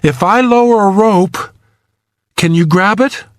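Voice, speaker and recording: A man's voice: sits high, measured pace, no room sound, pitched above the performer's own voice. A man voicing a determined woman rescuer in an audiobook, firm, urgent and encouraging. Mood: focused. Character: steady, determined woman rescuer, reassuring under pressure. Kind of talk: audiobook character dialogue.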